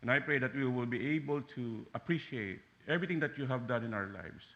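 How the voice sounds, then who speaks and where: A man in his forties from the Philippines with a Filipino accent, preaching a sermon as a guest pastor in a church, animated and joking with frequent fillers and restarts. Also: mid-range voice, brisk, lo-fi sound. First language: Taglish. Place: church sanctuary.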